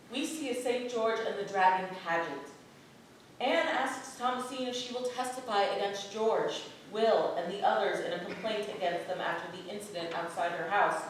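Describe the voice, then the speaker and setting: A woman giving theatrical scripted narration as an actor reading a play synopsis. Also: mid-range voice. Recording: echoey hall from far off, faint noise.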